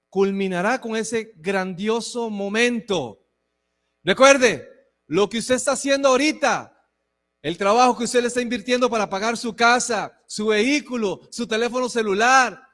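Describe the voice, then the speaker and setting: A man in his forties preaching to a church, conversational and warm, with frequent asides to the congregation. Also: very high voice. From Costa Rica. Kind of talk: sermon and church address. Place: church hall. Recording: long reverb of a hall.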